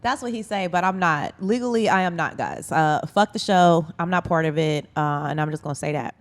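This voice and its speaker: A woman's voice: on the low side, a little fast. A woman hosting a radio interview in casual, flirty banter, playful and brash, with plenty of slang and swearing. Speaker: radio show host interviewing an artist.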